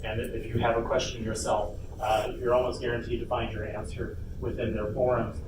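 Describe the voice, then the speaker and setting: A man presents an informal workshop in a casual, somewhat hesitant way with playful asides. His mid-pitched voice is picked up from a distance in an echoing room, with clear background noise. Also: level tone, brisk. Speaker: workshop presenter on a convention panel. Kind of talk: informal instructional presentation to an audience. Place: convention panel room. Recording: noisy, echoey room, distant mic.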